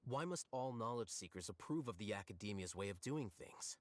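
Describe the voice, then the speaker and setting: A man voicing a deep, calm scholar. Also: a little fast, lightly acted. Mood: composed. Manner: composed, persuasive. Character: calm, clever male scholar scribe, confident. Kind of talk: video game dialogue.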